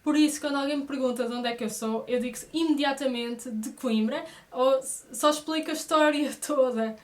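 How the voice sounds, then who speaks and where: Female vlogger, 30, European Portuguese accent, high register, casual and expressive direct-to-viewer chat. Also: brisk. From Brazil, moved to Portugal aged six. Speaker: vlogger introducing her channel.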